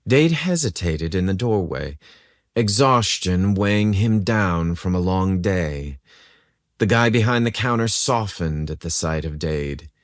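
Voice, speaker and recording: Man, scripted story narration, animated intonation, close dry sound. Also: low-pitched, dry recording.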